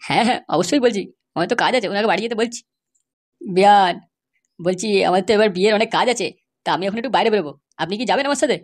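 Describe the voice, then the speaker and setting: Old village dad voiced by a man, unusually high voice, blustering, effusive, eager to please, comedy cartoon. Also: fast. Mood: obliging.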